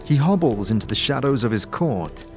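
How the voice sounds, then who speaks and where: A man narrating a fantasy audio drama in a measured, theatrical storytelling manner, addressing the listener directly. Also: medium pitch, expressive, over music.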